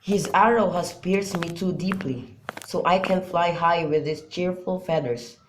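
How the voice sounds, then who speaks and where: A man's voice: high voice, unhurried. A young man in an amateur radio drama, playing a melancholy, lovesick youth in an earnest, mournful manner. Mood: glum. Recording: clearly noisy amateur recording with clicks.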